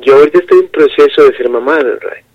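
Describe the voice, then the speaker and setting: A man calling in to a radio show with a thick, hoarse-sounding voice, heard over the phone. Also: fairly high pitch, fast, crowd noise. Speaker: radio caller. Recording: dull phone line.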